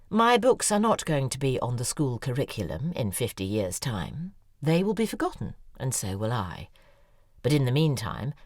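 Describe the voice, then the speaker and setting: A desperate novelist. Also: female voice, rumbling low, no echo. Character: neurotic, desperate middle-aged woman novelist. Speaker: writer confiding in her ghostwriter. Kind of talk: audio drama acting. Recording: dry studio voice with faint music.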